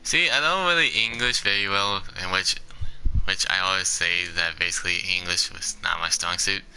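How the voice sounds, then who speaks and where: A man's voice: sits low, normal speed, sharp tone, a little hiss or hum, low-quality recording. A young man giving casual, rambling commentary while playing a game, leaning on "basically" and laughing often. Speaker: gaming YouTuber.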